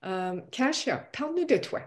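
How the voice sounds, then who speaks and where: A woman interviewing guests for a church broadcast, asking questions gently with hesitation fillers. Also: fairly high pitch, big pitch swings, moderate pace.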